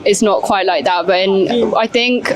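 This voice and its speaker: Relaxed chatty teen girl from England. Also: mid-range voice, unhurried, a crowd in the background. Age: teens. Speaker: interviewee. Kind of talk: casual chat.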